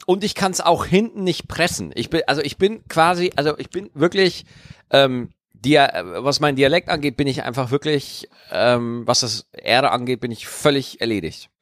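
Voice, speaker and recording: A man's voice: mid-range voice, lively intonation, moderate pace, a bit bright, dry recording, studio quality. A man, likely in his twenties, chatting casually with playful, teasing banter. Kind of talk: casual chat.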